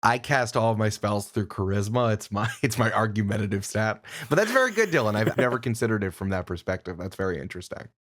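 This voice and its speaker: A man talking casually as a podcast guest, opinionated and animated, often digressing as he argues his point. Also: fairly low pitch, quick pace, few pauses.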